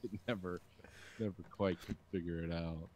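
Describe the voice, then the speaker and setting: A man's voice: low-pitched, steady tone, moderate pace, darkish tone. A man in his forties hosting a podcast from a dim home studio, rambling through crude banter and swearing freely. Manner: rambling storyteller, swears freely, mimics people. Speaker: podcast host. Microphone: studio mic on boom arm with headphones.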